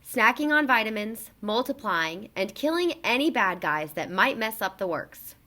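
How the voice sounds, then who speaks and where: A woman reading a children's picture book aloud in an expressive, emphatic storytelling delivery. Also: on the high side, moderate pace.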